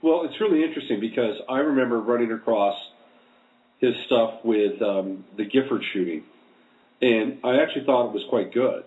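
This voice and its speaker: A man interviewing in a casual conversational way, with halting, reflective phrasing. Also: medium pitch. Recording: thin phone-like line.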